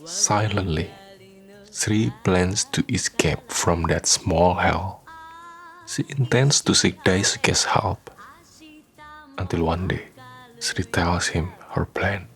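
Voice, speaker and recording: A man reading scripted narration for a radio drama, with soft guitar music behind him. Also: low-pitched, slow, creaky, long pauses.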